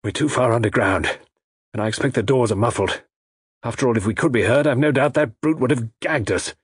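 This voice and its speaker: A man voicing a gallant, protective army major in an audiobook, with a brisk, plucky, plain-spoken manner. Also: mid-range voice, slightly rough, no echo, clean studio sound, natural voice. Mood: urgent.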